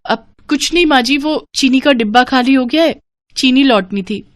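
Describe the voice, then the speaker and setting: A woman's voice: high-pitched, normal speed, no room sound, pitched above the performer's own voice, lightly acted. A young daughter-in-law in a domestic storybook tale, acted by a woman, with a raised, plaintive voice. She protests respectfully and sounds put-upon, in a dry close recording. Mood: meek.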